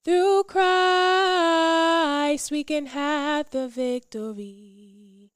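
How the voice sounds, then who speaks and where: Very high-pitched woman singing devotionally. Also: fairly even intonation, dry recording, clean studio sound, soft background music. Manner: drawn-out sung phrases. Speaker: singer. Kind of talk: devotional gospel song. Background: musical accompaniment.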